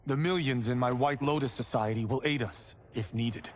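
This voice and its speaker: A man's voice: mid-range voice, normal speed, slight background noise, close to an everyday voice. A man playing a loyal, earnest warrior monk in a video game cutscene, speaking emphatically. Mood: alarmed, determined.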